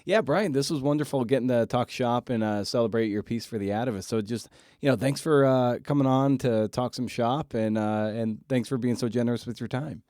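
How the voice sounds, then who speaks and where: A man's voice: mid-range voice, fairly quick, a little mellow, dry recording, studio quality. A man hosting a podcast, talking informally in a candid, self-deprecating way. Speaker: podcast host.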